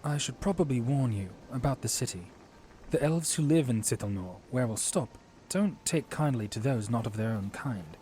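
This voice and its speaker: Man acting earnest, mellow-voiced young knight commander. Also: medium pitch, expressive, a little hiss or hum, natural voice. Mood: cautioning. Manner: formal, earnest, measured speech. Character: young male knight commander, courteous and watchful. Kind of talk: audio drama acting.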